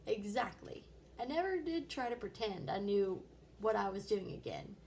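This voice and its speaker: A woman's voice: medium pitch, very animated, moderate pace, creaky, darkish tone, slight background noise. A woman voicing a kindly, reassuring father telling patient anecdotes in a children's storybook. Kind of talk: children's storybook read-aloud.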